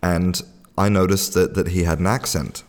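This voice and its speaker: A man's voice: sits low, steady tone, normal speed, big-room sound. A man hosting a podcast, telling a casual story with lots of restarts and fillers. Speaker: podcast host. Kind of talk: casual spoken podcast monologue.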